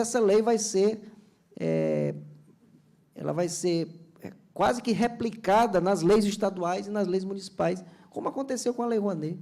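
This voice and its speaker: Brazilian official from Ceará, panel talk; dark, gravelly tone, earnest and halting. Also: male voice, high-pitched. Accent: Brazilian Portuguese, Ceará. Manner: earnest, explanatory, many restarts and fillers. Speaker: government culture official on a panel. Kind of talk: seminar panel speech.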